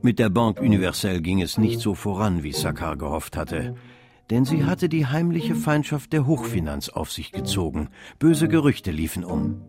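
A man's voice: fairly low pitch, sing-song, moderate pace. Male radio play narrator over music.

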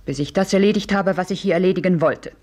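Woman acting a low, husky, guarded young woman. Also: quick pace, darkish tone, lightly acted. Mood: guarded, curt. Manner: terse, reserved. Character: guarded, evasive young woman of twenty-four. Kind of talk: 1950s radio drama acting.

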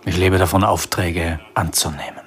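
A world-weary private investigator in a radio crime drama. His voice is low and composed, and he speaks in a terse, understated way. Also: steady tone, normal speed. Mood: laconic. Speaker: actor in a radio crime play.

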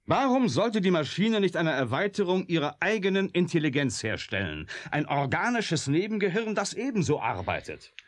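A man's voice: on the high side, big pitch swings, normal speed, hardly any pauses. An actor in a German radio drama playing an agitated, bitter male scientist who argues in probing questions. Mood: urgent, questioning. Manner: impassioned, probing rhetorical questions.

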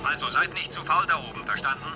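Guy playing mission control, slightly high voice, clipped and businesslike, radio drama, loud music bed behind him. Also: fast, close to an everyday voice. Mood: brisk, businesslike. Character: brisk male ground controller directing a space launch.

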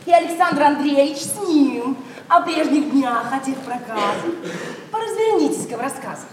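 Proud, impulsive young lady in love; very high voice, emotional verse delivery, roomy distant sound. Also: expressive, moderate pace, darkish tone. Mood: lovestruck, agitated. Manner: emotional, theatrical, openly confiding. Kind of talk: classic Russian stage verse, radio play acting. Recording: roomy distant radio play, faint noise.

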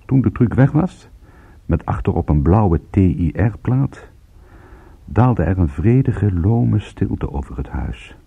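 Low, husky, wry private detective. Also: male voice, expressive, slow pace, phone-quality sound, exaggerated voice. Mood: brooding narration. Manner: wry, sardonic, laconic. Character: world-weary, wry male private detective. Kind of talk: radio drama acting with first-person narration.

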